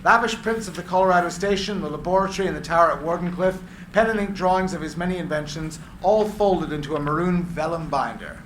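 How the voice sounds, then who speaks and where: A man's voice: on the high side, fast talker, fairly bright tone, roomy sound, a little hiss or hum. A man reading screenplay narration and stage directions at a live script reading.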